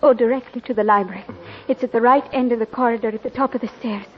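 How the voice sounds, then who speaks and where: An actress playing a timid, romantic young ward, demure and anxious, in a 1940s radio drama. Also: female voice, fairly high pitch, steady tone, quick pace, natural voice. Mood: hushed urgency. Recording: muffled vintage 1940s radio recording. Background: faint music.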